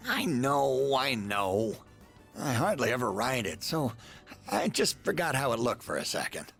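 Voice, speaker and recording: A man's voice: mid-range voice, sing-song, unhurried, vocal fry, a bit bright, faint music behind, full character voice. An actor playing a coy, teasing, eccentric man in a video game, with a theatrical delivery. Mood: chatty. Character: playful, teasing, eccentric letter-writing man.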